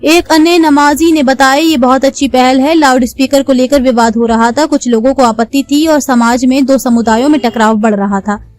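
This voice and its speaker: A woman's voice: high voice, fairly even intonation, normal speed, few pauses, a little mellow. A woman reading a scripted news report as a narrator.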